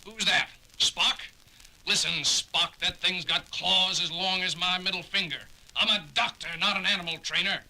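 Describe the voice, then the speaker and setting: An actor playing a gruff, irritable male ship's doctor who talks in a blunt, grumbling way in a 1970s audio drama. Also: high-pitched, fairly even intonation, normal speed, sharp tone, exaggerated voice, low-quality recording.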